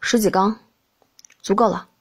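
A woman's voice: mid-range voice, steady tone, measured pace, slightly rough, pitched below the performer's own voice. A woman voicing a young crown prince who is cool, haughty and languid, in an audiobook reading. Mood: detached. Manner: aloof, languid, terse. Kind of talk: audiobook character acting.

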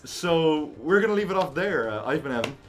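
A man's voice: on the high side, expressive, brisk, soft background music. A man co-hosting a gaming channel, joking and ranting in casual banter, laughing often.

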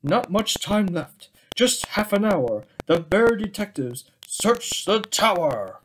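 A young man reads a children's picture book aloud. His pitch swings widely and he takes his time with each phrase. The recording, made in a small room, has background noise. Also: big pitch swings, takes it slowly, a bit bright. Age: young adult. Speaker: storybook reader. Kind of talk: children's story read-aloud. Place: small indoor room with bookshelves. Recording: clearly noisy home webcam recording.

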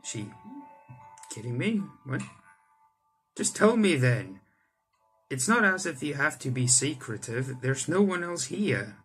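A man's voice: fairly high pitch, sing-song, slow, pauses often, fairly bright tone, husky. A young man, a gaming YouTuber, reading visual novel text aloud and adding his own asides. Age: young adult. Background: game music.

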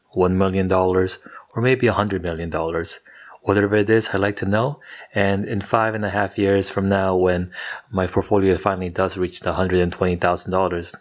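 A young man talking through his investing goals in a scripted video, with a steady, monotone delivery. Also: low-pitched. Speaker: personal finance YouTuber. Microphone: studio microphone off camera.

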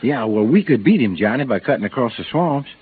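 Man playing folksy swamp guide, lively old radio. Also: mid-range voice, brisk, slight background noise, natural voice. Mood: game, easygoing. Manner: colloquial, folksy, easygoing. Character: folksy, game male driver and swamp trapper. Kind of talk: 1950s radio drama acting. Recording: tinny old radio recording.

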